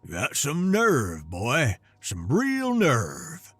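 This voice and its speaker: A man putting on a gravelly, grumpy old grandpa. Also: medium pitch, big pitch swings, moderate pace, a bit bright, no echo, faint music behind. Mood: scolding. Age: older. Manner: sarcastic, scolding.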